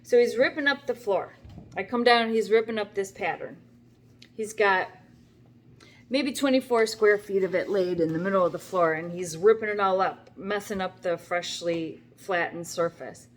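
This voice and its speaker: A woman's voice: medium pitch, moderate pace. An older woman talks straight to her camera from her kitchen at home. She tells a long story in a chatty, wry, rambling way, with plenty of asides. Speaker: vlogger. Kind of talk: casual talking-to-camera story.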